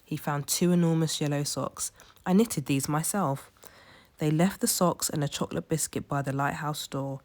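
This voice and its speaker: A woman's voice: low voice, fairly even intonation, a little fast, few pauses, a little mellow. A woman storyteller reading a children's picture book aloud as scripted narration.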